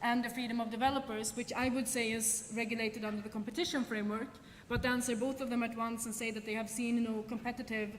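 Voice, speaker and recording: A woman's voice: fairly high pitch, level tone, quick pace, hardly any pauses. A woman with a slightly raised voice fields questions after a conference talk, hedging and restarting as she thinks things through. Her words carry a long reverb from a large lecture hall. A faint hiss of noise sits underneath. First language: Swedish. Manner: thinks aloud with frequent ums and restarts. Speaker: conference speaker.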